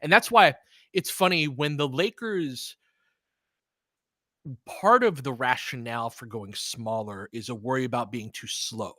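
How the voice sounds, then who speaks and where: A man hosting a live basketball watch-along, talking as an excitable, swearing fan who also analyzes the play. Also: medium pitch, a little slow, no echo, studio quality. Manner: profane, excitable fan talk with analytical asides. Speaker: sports podcast host and analyst.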